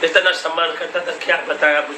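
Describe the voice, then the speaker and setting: A man's voice: a little high, fairly even intonation, brisk. An elderly man, a religious storyteller, answering interview questions informally in a rambling way that repeats phrases. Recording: noisy echoey call recording, distant voice.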